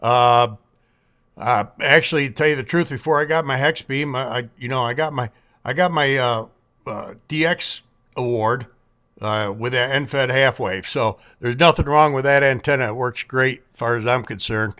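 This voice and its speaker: A man talking casually as an amateur radio operator in a folksy, measured, plain-spoken manner. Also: mid-range voice, a bit bright. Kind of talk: casual ham radio contact.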